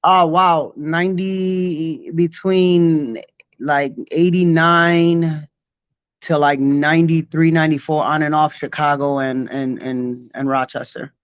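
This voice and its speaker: A man's voice: fairly high pitch, fairly even intonation, takes it slowly, clean tone, no room sound, muffled recording. A man in his forties, born in Puerto Rico, telling stories in a loose, rambling way full of fillers during a casual interview. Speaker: guest b-boy.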